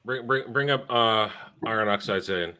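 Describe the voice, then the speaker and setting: Male guest, lowish bright voice, dry nostalgic delivery. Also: moderate pace, clean tone. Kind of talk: casual gaming chat.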